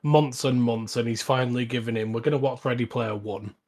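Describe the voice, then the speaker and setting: A man's voice: mid-range voice, fairly even intonation, a little fast, a little mellow. A man co-hosting a podcast, chatting casually about films. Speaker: podcast co-host. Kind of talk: casual film chat.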